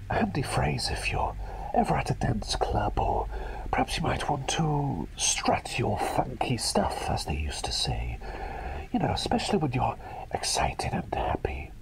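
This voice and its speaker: A man performs an eccentric male plague doctor in an ASMR roleplay. The breathy whisper sinks very low and crackles with gravel, swinging widely in pitch. He rambles playfully and reassures the listener softly, as if tending to a patient. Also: heavily acted.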